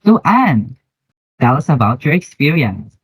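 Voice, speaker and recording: A man with a Filipino accent hosting an educational podcast in a deliberate, formal way, introducing and questioning guests from prepared notes. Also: a little high, sing-song, breathy edge, dry recording. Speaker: podcast host.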